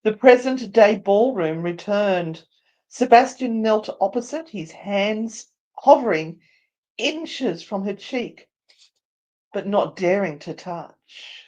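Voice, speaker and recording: A woman with a mid-pitched voice narrates a story live at a slow pace. She is theatrical and playful, moving between dramatic reading and chatty banter as co-host. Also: lively intonation, a little mellow, highs cut off.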